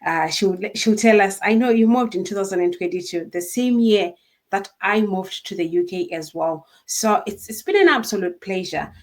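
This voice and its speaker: A woman's voice: mid-range voice, moderate pace. A woman hosting an interview, speaking in an earnest, wordy, conversational way. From Africa. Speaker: interview host.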